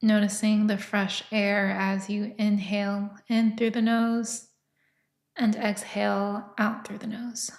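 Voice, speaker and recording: Woman meditation guide, very slow and soothing, even-toned delivery in a roomy, echoing space. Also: medium pitch. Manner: soft, unhurried, soothing instruction with long pauses.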